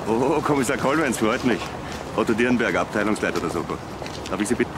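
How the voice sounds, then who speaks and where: A brisk middle-aged police chief, played by an actor, in a TV crime drama. He talks fast in a low register, affable and a bit officious. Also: expressive, noisy background, close to an everyday voice. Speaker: police department head.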